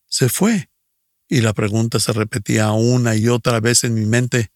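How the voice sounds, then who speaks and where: A middle-aged man tells his own story as a prepared testimony. His delivery is earnest and measured, with a gravelly creak in the voice and a dry, close sound at an average male pitch. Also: dry recording. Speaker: church speaker giving a personal testimony.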